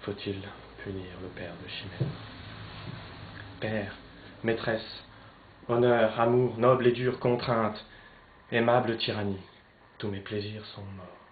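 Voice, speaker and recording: A man playing an anguished young Spanish nobleman torn between love and honour, declaiming classical French verse theatrically, swinging between hushed and loud. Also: sits low, level tone, moderate pace, few pauses, close to an everyday voice. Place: poetry club, live recitation. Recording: roomy, slightly dull live recording, noisy.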